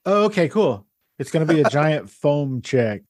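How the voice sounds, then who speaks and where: A man's voice: fairly high pitch, very animated, moderate pace, a little mellow. Upbeat man hosting a podcast. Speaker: podcast host. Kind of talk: casual interview chat.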